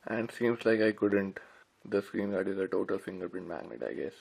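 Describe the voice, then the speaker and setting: Low, gravelly man doing casual unboxing commentary. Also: moderate pace, low-quality recording. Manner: informal, addresses viewers as guys. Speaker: tech reviewer.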